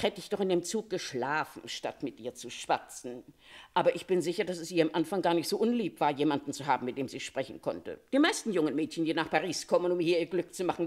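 A Paris concierge in a radio crime drama, a woman who loves to gossip. Her voice sits a little low but swings widely up and down as she confides her firm opinions. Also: a little mellow. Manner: chatty, confiding, opinionated storytelling. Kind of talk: German radio crime play acting.

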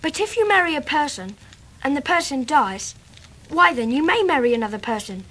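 A woman's voice: high-pitched, very animated, moderate pace, fairly bright tone, slight background noise. A woman playing a curious, earnest little English boy in a radio drama.

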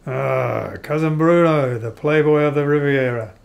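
A measured, polite son of vampires played by a man in a radio play. He speaks slowly in a slightly high male voice, as if explaining things with care. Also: vocal fry. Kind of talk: amateur radio play acting.

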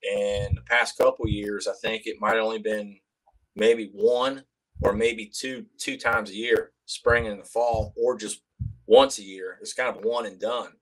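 A man in his fifties chats as a podcast guest. His voice is a little lower than average. He talks casually, indoors on a video call. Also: fairly even intonation, unhurried, fairly bright tone, low-quality recording. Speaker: podcast guest, tournament angler. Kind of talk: casual chat.

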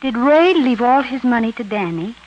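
An American actress playing a scornful gold-digging widow in an old radio drama, sugary one moment and sneering the next. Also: female voice, on the high side, expressive, moderate pace, dull audio, natural voice. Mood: sly, sugary. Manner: sugary cooing that turns to sharp sneering. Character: brassy, scornful gold-digging widow who coos to her lapdog. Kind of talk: 1940s radio drama acting. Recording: noisy old radio recording.

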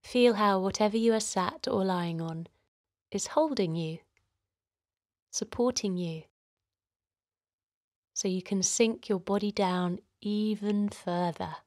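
A woman gently guiding a children's relaxation in a soothing, encouraging way, her voice softly breathy and hushed at times. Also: mid-range voice, slow, long pauses, no room sound, studio quality. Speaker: host of children's guided relaxation. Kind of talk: guided meditation narration for children.

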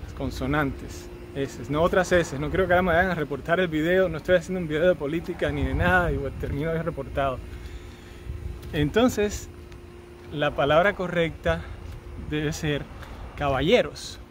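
A Cuban man in his thirties walks a neighborhood street and talks to his phone on a selfie stick, chatty and quick with joking asides. His voice sits a little high for a man. Traffic and outdoor sounds run clearly behind him. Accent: Cuban Spanish. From Cuba. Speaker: vlogger. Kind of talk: casual walking vlog. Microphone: phone on a selfie stick at arm's length. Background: street traffic and outdoor ambience.

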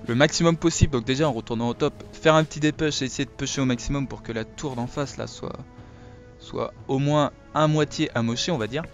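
A hoarse French man casually commentating his own game, hesitant, with many pauses and fillers. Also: medium pitch, dull audio, soft background music. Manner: relaxed, hesitant with many "euh". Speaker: gamer commentating his play. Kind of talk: casual let's play commentary.

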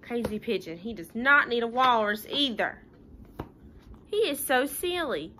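Bright-voiced young woman teacher. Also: fairly high pitch, animated, a little slow, a little hiss or hum. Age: young adult. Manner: folksy, plain-spoken, rhetorical questions. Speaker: schoolteacher. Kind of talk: informal talk to young pupils and parents. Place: living room at home.

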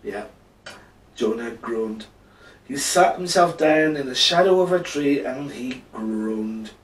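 A man in his thirties telling a children's Bible bedtime story with drawn-out emphasis, seated indoors. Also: mid-range voice, animated. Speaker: storyteller for a church. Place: indoor room, seated before a backdrop. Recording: roomy, echoing, distant microphone.

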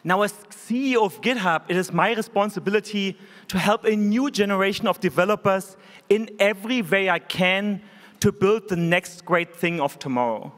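A man in his forties with a German accent, giving an earnest, measured keynote speech from a conference stage podium microphone. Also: sits high, fairly bright tone. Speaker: keynote speaker. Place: large conference hall stage. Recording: hall reverb.

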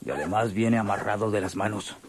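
An actor playing a gruff, suspicious outlaw henchman in a radio drama. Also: on the low side, a little fast, natural voice. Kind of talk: Mexican radionovela acting. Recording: old radio drama recording, faint noise.

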